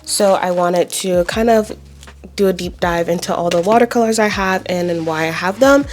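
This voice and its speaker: A young woman, a pottery and watercolor artist, chatting casually in her vlog with a raspy voice from not talking all day, rambling with lots of "like" and "um.". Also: fairly low pitch, normal speed, faint music behind. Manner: rambling, with frequent "like" and "um".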